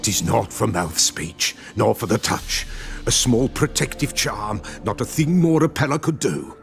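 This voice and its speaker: Creaky, batty old village soothsayer. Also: male voice, lowish voice, expressive, a little slow, over music. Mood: cryptic, agitated. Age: older. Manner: archaic, riddling speech, muttered repetitions. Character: eccentric, batty old village soothsayer who sees ghosts. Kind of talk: video game fantasy acting.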